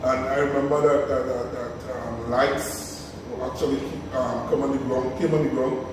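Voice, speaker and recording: Tobagonian man, 50s, animated guest, far off in an echoey room with noise. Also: medium pitch, normal speed. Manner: animated storytelling with tag questions. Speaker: guest, community sports organizer. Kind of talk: conversational interview.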